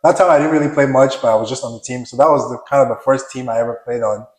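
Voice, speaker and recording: A young man from Nigeria, a professional basketball player, talking casually as an interview guest, relaxed and soft-spoken, often restarting and trailing off. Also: mid-range voice, rapid-fire, echoey room.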